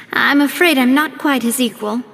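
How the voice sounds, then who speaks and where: An actress voicing a poised, earnest young woman who leads an organization in a game cutscene, with a refined, measured, sincere manner. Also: high-pitched, vocal fry, fairly bright tone, slight background noise, heavily acted. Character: poised young lady leading a crime-fighting organization, earnest and polite. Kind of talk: video game cutscene voice acting.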